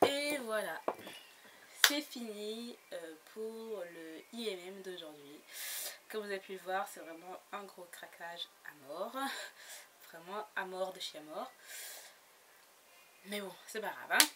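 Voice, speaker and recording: A woman's voice: medium pitch, animated, takes it slowly, long pauses. A woman chatting casually about her latest book haul, giggly and full of fillers and restarts. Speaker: book blogger. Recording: noisy home recording.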